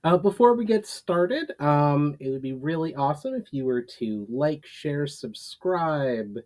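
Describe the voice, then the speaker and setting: A man who is an author reading his own fantasy writing aloud in a storytelling style, a little halting in delivery. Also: on the high side, animated, a little mellow. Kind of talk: casual intro, then dramatic storytelling read aloud.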